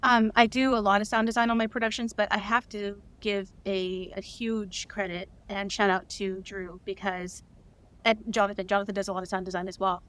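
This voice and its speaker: A woman's voice: medium pitch, level tone, normal speed, a bit bright. A woman guest on an interview, an audio drama creator, speaking enthusiastically with frequent ums. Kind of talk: casual interview answer.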